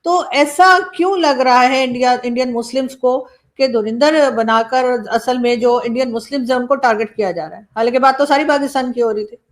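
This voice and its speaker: A woman's voice: high voice, a little fast. A woman with a Pakistani accent hosting a casual interview in a chatty, roundabout way. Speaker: journalist and show host.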